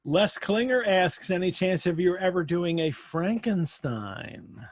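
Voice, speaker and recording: A man's voice: high voice, expressive, slow pace, no room sound, clean studio sound. A man hosting a casual live question-and-answer session over a video call, putting questions to a guest. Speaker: interviewer, host.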